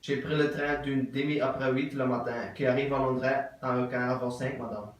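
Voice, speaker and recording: A man playing a stiff, courteous English gentleman. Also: mid-range voice, steady tone, normal speed, lightly acted. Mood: ceremoniously curious. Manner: formal, ceremonious, repetitive. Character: stiff polite English gentleman, middle-aged, curious. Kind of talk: theatre acting of an absurd play. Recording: very echoey room, voice from far off.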